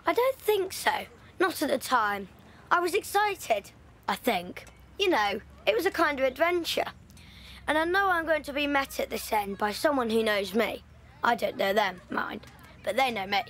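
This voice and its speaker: A thoughtful young evacuee girl from London, as an actress voices her in a radio play. Her voice sits high, and she tells her story slowly and reflectively. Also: lively intonation, a bit bright. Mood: recalling. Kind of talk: audio drama acting.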